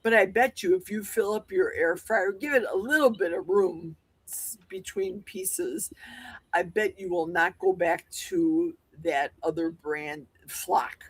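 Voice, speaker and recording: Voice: mid-range voice, a little slow, slightly rough, no echo, low-quality recording. A person chatting enthusiastically on a livestream, sharing food tips and laughing easily. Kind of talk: casual livestream chat.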